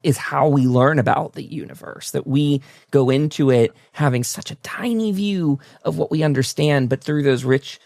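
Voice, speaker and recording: A man's voice: a little high, normal speed, creaky, few pauses, a little mellow, no echo, clean studio sound. A man hosting a casual interview, speaking in an enthusiastic, complimentary way. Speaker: talk show host interviewing a guest.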